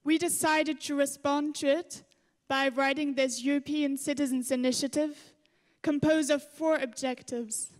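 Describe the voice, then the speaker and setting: High-pitched woman speaking in a reverberant hall. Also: fairly even intonation, a little slow. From France. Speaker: climate initiative spokeswoman. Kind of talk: prepared formal statement. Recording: echoey hall with long reverb.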